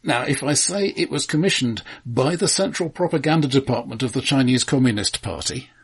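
A man co-hosting a film podcast, talking casually and a little haltingly as he goes through a countdown. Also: medium pitch, vocal fry, slightly rough. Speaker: film discussion podcast co-host. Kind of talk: casual chat, presenting a countdown.

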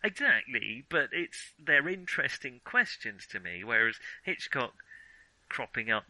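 A man with a very bright, crisp-edged voice talks through his views on film as a co-host. He feels his way through opinions, hesitating and rephrasing. The sound is dry, with little room around him. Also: mid-range voice, sing-song, measured pace, no room sound, poor audio. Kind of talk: casual film discussion.

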